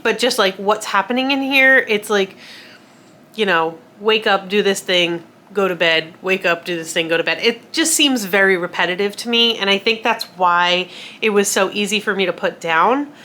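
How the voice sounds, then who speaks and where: A woman's voice: mid-range voice, bright, forceful tone. A woman with a cold and a nasal, congested voice, chatting casually to camera about books. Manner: chatty and self-deprecating, with frequent fillers and laughs. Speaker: book vlogger.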